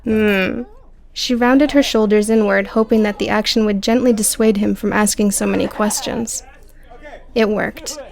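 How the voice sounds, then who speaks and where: A woman's voice: medium pitch, fairly even intonation, normal speed, vocal fry, a little mellow. A woman narrating an audiobook story in a scripted, dramatic storytelling style.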